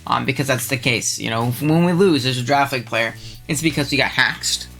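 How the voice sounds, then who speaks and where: A young man with a head cold talks quickly and animatedly, riffing on viewer opinions. His voice is bright and forward, a bit congested, and full of ums and quick laughs. Faint music plays softly behind him. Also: medium pitch, vocal fry. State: stuffed up, congested. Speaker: channel host. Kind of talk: casual commentary reacting to viewer takes.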